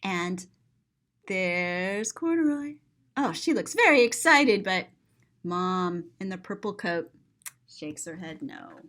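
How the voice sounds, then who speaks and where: A woman reading a children's story aloud and describing the pictures, expressive and explanatory. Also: medium pitch, slow pace.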